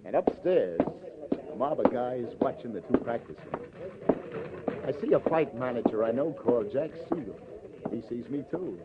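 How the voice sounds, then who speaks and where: An actor playing a middle-aged, wry and world-weary New police detective in a 1950s hard-boiled radio drama. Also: male voice, mid-range voice, lively intonation, moderate pace, heavy background noise, close to an everyday voice. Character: seasoned, wry New York police detective, former boxer. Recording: muffled old radio broadcast, music behind.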